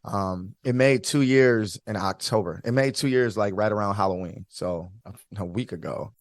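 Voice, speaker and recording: A chatty young man from Detroit talks as an interview guest in a dry, close recording. His voice sits low, and he repeats himself and laughs easily as he tells his stories. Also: normal speed, no room sound. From Detroit, Michigan. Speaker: guest, DJ and fitness coach. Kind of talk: casual interview chat.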